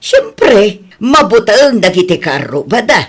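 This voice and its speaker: A man's voice: high-pitched, sing-song, slow pace, full character voice. A man playing a gossiping villager in an audio drama, insistent and chiding as he talks. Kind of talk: Ilocano audio drama dialogue.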